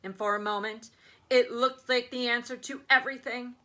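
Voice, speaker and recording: A woman's voice: a little high, fairly even intonation, normal speed, fairly bright tone. A woman reading a children's story aloud as a teacher would, with emphatic storytelling phrasing.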